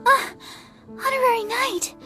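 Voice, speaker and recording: A polite young healer girl, earnest and gently flustered. Her voice sits very high, in a game cutscene with faint music underneath. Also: fairly even intonation, unhurried, heavily acted. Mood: concerned, puzzled. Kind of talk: video game cutscene acting.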